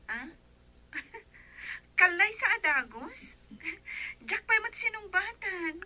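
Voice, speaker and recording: Young daughter, Filipino accent, very bright tone, unhurried, respectful, radio drama. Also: female voice, very high-pitched, sing-song, heavily acted. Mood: shy, affectionate. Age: young adult. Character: sweet, respectful young daughter working abroad.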